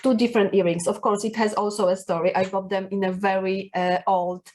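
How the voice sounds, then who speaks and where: A woman's voice: mid-range voice, level tone, fairly quick, a little mellow, a little hiss or hum. Polish-accented woman giving style advice. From Poland. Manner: earnest and encouraging, with many fillers and restarts. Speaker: personal brand coach. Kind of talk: talking to camera, giving advice.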